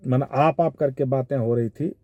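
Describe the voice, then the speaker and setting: A man with a Bihari accent giving informal spoken commentary in a measured, halting, explanatory way. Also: mid-range voice, no echo, dull audio. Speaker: commentator giving his analysis of a viral call.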